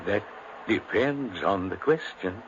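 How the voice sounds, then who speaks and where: Deep, slow, grave family doctor. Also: male voice, animated. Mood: evasive, gentle. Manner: measured, soothing, hesitant. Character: grave, gentle, long-serving family doctor, evasive. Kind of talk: 1950s radio drama acting. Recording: muffled, noisy 1950s radio recording.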